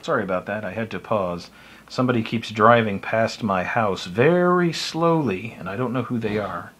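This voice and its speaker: A man's voice: lowish voice, animated, moderate pace, fairly bright tone, a little hiss or hum. An American man chatting casually and unscripted as he explains his hobby, in a rambling, plain-spoken and opinionated way. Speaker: shortwave radio hobbyist giving tips.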